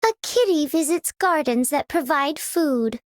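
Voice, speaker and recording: A woman's voice: sits high, lively intonation, a little slow, dry recording, clean studio sound. A woman voicing a playful, coy, independent cat-like young woman in a video game. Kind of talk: video game character voice lines.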